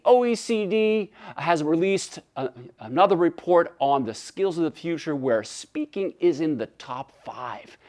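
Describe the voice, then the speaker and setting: A man from Canada whose native tongue is French gives an animated webinar keynote, often restarting phrases and acting out dialogue. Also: a little high. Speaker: keynote speaker. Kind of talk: webinar presentation.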